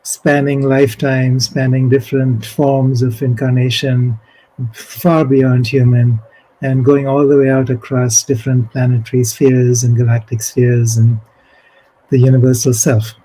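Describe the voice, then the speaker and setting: A man presenting at an online spiritual conference, explaining ideas in a slow, measured way over a video call. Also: medium pitch, level tone, dark tone, low-quality recording. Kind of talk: spiritual talk.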